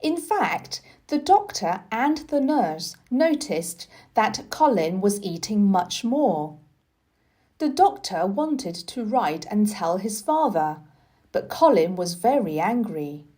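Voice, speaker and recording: Slow, very animated woman storyteller. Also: mid-range voice. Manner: deliberate, expressive read-aloud delivery. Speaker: storybook reader. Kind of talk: scripted narration of a children's story.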